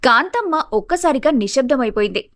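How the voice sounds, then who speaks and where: High-pitched woman story narrator. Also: expressive, brisk, fairly bright tone, no room sound, clean studio sound.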